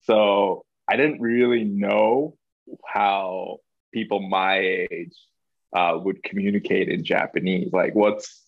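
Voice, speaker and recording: A young man from Hong Kong, a podcast guest, talking in a relaxed, thoughtful way with lots of fillers. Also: on the low side, slow, creaky, no room sound, dull audio. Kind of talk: casual interview.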